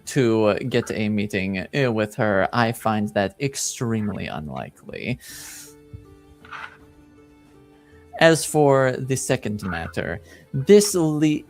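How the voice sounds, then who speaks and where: A man playing a haughty, shrewd duke in a tabletop game, formal, deliberate and skeptical. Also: medium pitch, sing-song, darkish tone, no room sound, faint music behind. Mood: dismissive, haughty.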